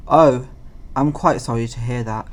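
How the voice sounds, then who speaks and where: A man's voice: mid-range voice, a little slow, natural voice. A man acting a polite, earnest charity collector in an audio play, speaking formally and courteously.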